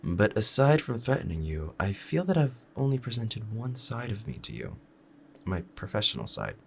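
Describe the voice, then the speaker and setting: A man playing a calm, proud assassin in love, both menacing and tender, talking to the listener in a measured, formal, confiding way in an audio roleplay. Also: fairly low pitch, creaky, slight background noise, pitched above the performer's own voice. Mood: tender, regretful. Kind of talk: scripted romantic ASMR audio roleplay.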